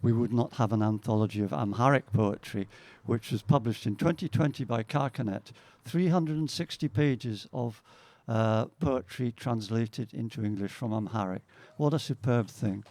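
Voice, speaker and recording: A man speaking in an earnest, halting way as he introduces poets at a live reading. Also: mid-range voice, warm tone, dry recording. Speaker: event host introducing poets. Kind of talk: informal live spoken introduction. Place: outdoors, live poetry reading.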